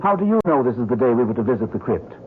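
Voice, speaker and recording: A man playing a proper, steady solicitor in an old-time radio mystery, speaking formally and with measured firmness. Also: medium pitch, close to an everyday voice. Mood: formal, composed. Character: proper, steady English solicitor, male, dutiful and firm. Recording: noisy narrowband old radio broadcast.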